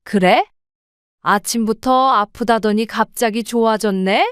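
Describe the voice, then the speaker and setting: A woman's voice: on the high side, unhurried, fairly bright tone, clear, resonant tone, no room sound, clean studio sound. A woman voicing a stern, proper mother in her seventies who scolds firmly in an audio drama. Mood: wary. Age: older. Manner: firm, reproachful scolding.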